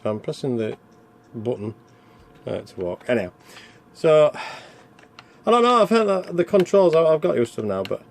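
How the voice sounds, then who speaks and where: A man's voice: on the high side, very animated, moderate pace, vocal fry, pauses often, a little hiss or hum. Rambling man casually commentating on games. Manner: rambling, self-deprecating. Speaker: video game reviewer and channel host.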